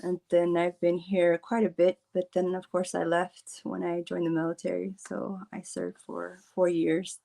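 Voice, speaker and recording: A woman from Hawaii, a guest in a casual interview, talking in a lively, friendly way. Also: lowish voice, a little slow, gravelly, hardly any pauses.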